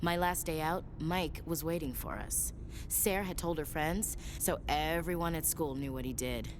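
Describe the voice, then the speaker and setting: Woman playing a low-voiced, candid female soldier. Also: moderate pace, a little hiss or hum, lightly acted. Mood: earnest, proud. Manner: frank, conversational, informal. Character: tough, candid female soldier, protective big sister. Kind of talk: naturalistic video game dialogue acting.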